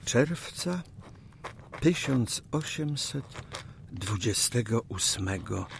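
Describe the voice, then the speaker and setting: An actor playing a 19th-century Polish official, speaking in formal, old-fashioned phrases with deliberate pauses, earnest and dignified in an audio drama. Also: male voice, mid-range voice, expressive, gravelly, slight background noise. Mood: nostalgic, reflective. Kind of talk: audio drama acting and diary narration.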